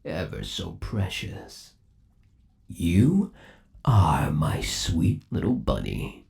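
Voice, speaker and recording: A possessive animatronic rabbit boyfriend, acted by a man, for an ASMR roleplay. He coos with sinister devotion in a gravelly voice, drawing his words out slowly. His pitch swoops up and down theatrically as he dotes. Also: sing-song, a little mellow, full character voice. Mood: tender, encouraging. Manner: slow, doting, theatrical cooing.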